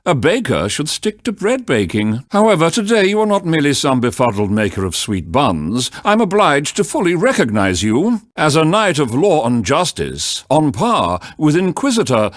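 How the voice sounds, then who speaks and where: Solemn old judge with swooping delivery. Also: male voice, fairly high pitch, very animated, no room sound, clean studio sound. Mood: solemn, formal. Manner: formal, pompous, slow courtroom pronouncements, sputtering when surprised. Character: stern, solemn elderly medieval witch-trial judge, easily flustered. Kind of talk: theatrical video game voice acting.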